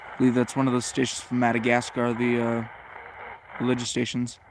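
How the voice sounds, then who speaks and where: A man's voice: mid-range voice, monotone, fairly quick, background noise. A man giving casual, off-the-cuff commentary as a radio hobbyist, hesitant and rambling, often correcting himself. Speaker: shortwave radio hobbyist narrating a tuning session.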